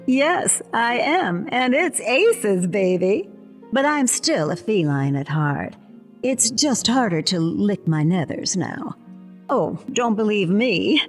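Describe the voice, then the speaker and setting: A woman's voice: mid-range voice, very animated, slow pace, soft background music. A woman playing a sassy pet cat turned human in an audio play, drawling jive talk like a hep cat. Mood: proud, playful.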